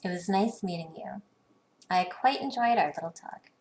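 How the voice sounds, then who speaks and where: An actress playing a poised, worldly corporate professional in a video game, speaking in a knowing, conversational way. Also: female voice, on the low side, expressive, moderate pace, darkish tone, close to an everyday voice. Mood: assured. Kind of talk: video game dialogue lines.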